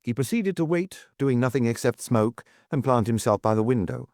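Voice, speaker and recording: A male narrator reads detective fiction from a script. His voice sits in a middle pitch, recorded very dry with hardly any room around it. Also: expressive, normal speed, a little mellow, no room sound. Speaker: audiobook narrator.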